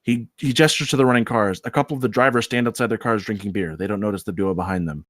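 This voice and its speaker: A man's voice: fairly low pitch, quick pace, a bit bright. A man narrating, reading screenplay action aloud at a table read. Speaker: script reader, narrator.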